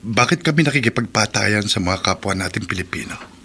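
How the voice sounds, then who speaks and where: A man's voice: lowish voice, animated, brisk, pushed and bright, slight background noise. An actor playing a troubled soldier son in a radio drama, earnest and respectful as he speaks of his doubts. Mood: reflective, troubled. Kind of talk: Tagalog radio drama acting.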